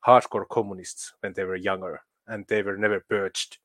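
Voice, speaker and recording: A man from Finland, a guest in a political interview, talking haltingly with many fillers and restarts. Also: low voice, little pitch movement, a bit bright, no room sound.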